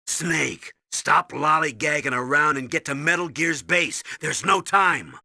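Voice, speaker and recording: An actor playing a stern older colonel in a video game, briefing and giving orders with a husky, commanding voice. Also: male voice, a little high, a little slow, sharp tone, dry recording, studio quality, heavily acted. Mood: urgent, commanding. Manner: commanding, urgent, instructive.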